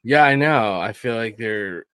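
A man's voice: on the low side, animated, measured pace, fairly bright tone. A man co-hosting a casual live wrestling commentary podcast in a jokey, slangy, profane way. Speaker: podcast co-host.

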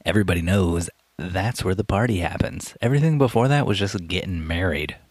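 Man podcast co-host, very low, gravelly, teasing banter, dry sound. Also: animated, brisk, dry recording. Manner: teasing, wisecracking. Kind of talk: casual banter on a wedding podcast.

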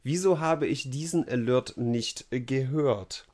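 A man's voice: medium pitch, unhurried, a little mellow. Joking German man co-hosting a podcast. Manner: jokey, switches into put-on dialect voices and laughs often. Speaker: podcast co-host. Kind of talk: casual live podcast banter.

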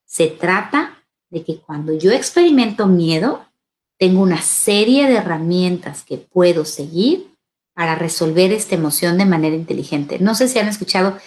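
A woman's voice: lowish voice, animated, moderate pace. A woman hosting a live parenting show, answering viewers' questions and speaking straight to the parents. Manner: warm advisory tone, addresses parents directly, asks "¿sale?". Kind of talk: live Q&A talk.

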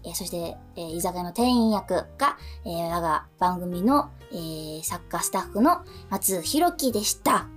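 A woman's voice: on the low side, lively intonation, normal speed, hardly any pauses, faint music behind. A woman hosting a radio show, mixing casual chat with announcements.

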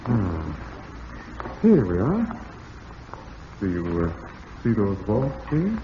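An actor playing a smooth-talking, falsely cheerful husband with murder in mind, in old-time radio drama style. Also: male voice, fairly low pitch, huge pitch swings, drawn-out words, exaggerated voice. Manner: suave, falsely cheerful, darkly ironic. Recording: dull, noisy vintage radio recording.

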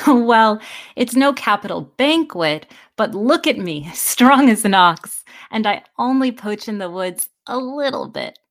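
A woman plays a tough teenage orphan girl in audio fiction. Her voice sits fairly high, with a cheerful, breezy swagger and playful bravado. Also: lively intonation, unhurried.

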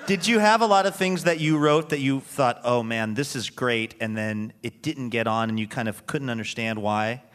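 A man's voice: medium pitch, moderate pace, few pauses, a bit bright, slight background noise. A man hosting a radio show, interviewing conversationally on a live stage. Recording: live theater with audience behind. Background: audience.

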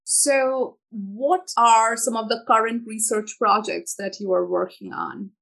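A woman hosting an academic podcast, her voice carrying a gravelly fry. She asks short, polite questions in a plain way. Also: on the high side, unhurried, a bit bright, low-quality recording. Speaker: podcast host. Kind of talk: interview questions.

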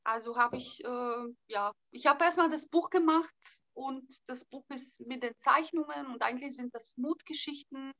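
Young woman, bright and tense tone, hesitant casual talk. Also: high voice, normal speed, few pauses, no echo, muffled recording. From Bulgaria. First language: Bulgarian. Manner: hesitant, thinking aloud with fillers. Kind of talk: casual conversation.

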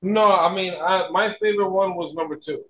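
A man on a podcast, chatting casually and excitably, repeating phrases for emphasis. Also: high-pitched, brisk, muffled recording. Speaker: podcast guest or co-host. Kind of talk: casual chat.

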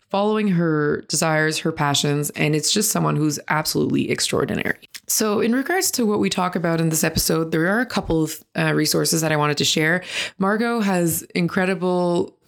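A woman's voice: low voice, vocal fry. A woman with a Quebec accent hosting a podcast, giving a long earnest intro with fillers. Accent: Quebec French. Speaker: podcast host.

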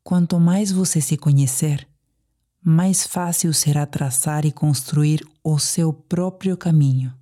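A woman with a Brazilian accent leading a guided sleep meditation, soothing and deliberate, leaving pauses between phrases. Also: sits low, mellow.

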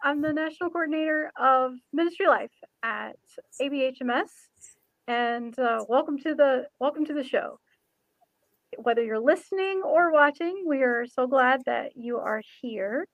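A woman's voice: high voice, normal speed, dry recording, lo-fi sound. A woman hosting a casual interview show, speaking in an informal, chatty way with sentences that sometimes trail off. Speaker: show host; ordained minister, former pastor.

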